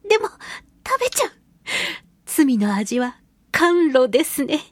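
A woman hosting a casual solo radio talk and reading listener mail, chatty, giggly and expressive, with a slightly breathy voice. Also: high-pitched, a little slow, no echo. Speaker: radio show host and voice actress.

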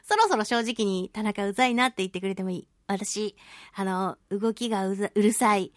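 A woman's voice: medium pitch, brisk, dry recording. A woman chatting casually as a radio host and reading listener mail, playful, giggly and self-teasing, in a close studio recording. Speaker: radio show host and voice actress.

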